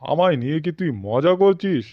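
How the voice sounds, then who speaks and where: A gruff, imperious cartoon beast king, played by a man, pitched fairly high and quick to get testy. The sound is dry and a little muffled and lo-fi. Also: expressive, no room sound, natural voice. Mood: irritated. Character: male animal king, gruff, haughty, easily irritated. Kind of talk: cartoon dialogue. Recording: slightly dull lo-fi audio.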